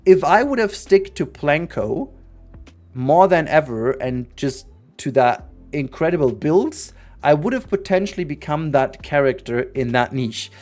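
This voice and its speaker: A man's voice: a little high, expressive, a little slow, darkish tone, soft background music. A young man who is not a native English speaker, talking earnestly and rambling in a casual livestream chat, with many restarts. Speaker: streamer.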